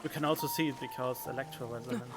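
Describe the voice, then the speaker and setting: A man's voice: medium pitch, steady tone, quick pace. A man streaming a video game, giving casual, chatty, reactive commentary into a desk microphone. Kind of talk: casual let's play commentary. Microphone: desk condenser microphone. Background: game music.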